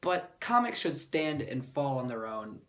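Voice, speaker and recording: A man delivers scripted comedic review narration as the host. His pitch moves around a lot, and his commentary is sarcastic with some mild swearing. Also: very animated, unhurried, fairly bright tone. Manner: sarcastic, mildly profane. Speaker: comic book reviewer and host.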